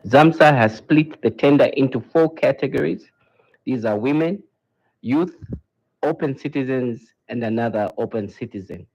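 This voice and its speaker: A man's voice: medium pitch, normal speed, darkish tone. A man gives a prepared official statement as a spokesman, in a dull, lo-fi recording. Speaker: corporate communications spokesman. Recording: dull, lo-fi sound clip.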